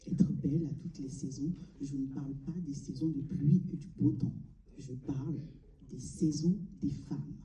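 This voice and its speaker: A woman from Mali delivering a solemn, deliberate theatrical monologue, pausing between short phrases. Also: low voice, steady tone, warm tone, slight background noise. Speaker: amateur stage performer. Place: large cinema auditorium before an audience. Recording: echoey hall, distant mic.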